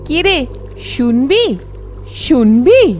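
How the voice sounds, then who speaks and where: A woman voicing the vengeful, bitter ghost of a drowned young wife in a horror cartoon, with a drawn-out, taunting delivery. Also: high voice, sing-song, a little mellow, exaggerated voice. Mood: menacing, taunting. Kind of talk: dramatic horror story voice acting. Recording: music bed under the voice.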